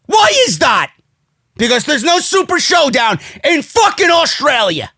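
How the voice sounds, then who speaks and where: A man's voice: very high voice, wildly swooping pitch, unhurried, bright, forceful tone, no echo, shouting, clean studio sound. A man with a New York accent delivering a brash, profane, indignant rant as an opinionated wrestling commentary host, hammering his points with emphasis. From United States.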